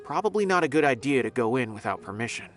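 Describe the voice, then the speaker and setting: A man's voice: mid-range voice, very animated, quick pace, a bit bright, soft background music. A man voicing a thoughtful scholar investigating a case in a video game, reasoning carefully aloud.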